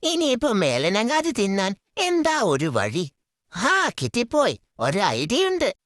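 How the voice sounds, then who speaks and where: A woman's voice: mid-range voice, huge pitch swings, measured pace, no room sound, clean studio sound. A woman voicing a sly, lazy male fox who poses as a healer in a children's cartoon, scheming and bossy. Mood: grumbling, scheming. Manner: scheming, bossy, mock-authoritative. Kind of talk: children's cartoon story character.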